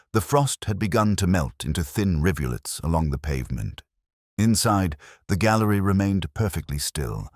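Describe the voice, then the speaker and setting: A man narrating a scripted first-person detective mystery as a reflective, world-weary inspector, with a measured, brooding literary delivery. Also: very deep voice, a little mellow, no room sound, studio quality.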